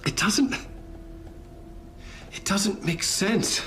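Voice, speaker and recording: An actor playing a haunted, confused writer in a video game, halting and muttering. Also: male voice, sits high, pushed and bright, faint music behind, exaggerated voice. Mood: dazed, struggling. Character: haunted, confused male writer, long missing. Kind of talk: dramatic video game acting.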